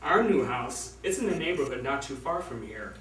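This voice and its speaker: A man's voice: medium pitch, very animated, normal speed, fairly bright tone. A man playing a friendly, eager and forgiving young bridegroom in an amateur stage comedy. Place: small theatre, distant microphone. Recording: echoey stage recording from far off, noisy.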